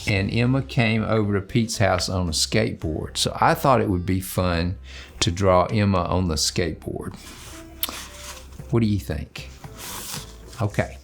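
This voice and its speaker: A man hosting a children's drawing show, talking in a gentle, unhurried, halting way as he thinks aloud through a casual lesson. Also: lowish voice, gravelly, echoey room.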